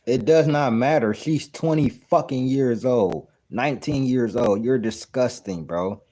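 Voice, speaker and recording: A man's voice: medium pitch, a little slow, dark tone. A man in his thirties giving blunt, profane, incredulous commentary while reacting to a clip, often repeating his points. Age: 30s. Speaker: reaction video host.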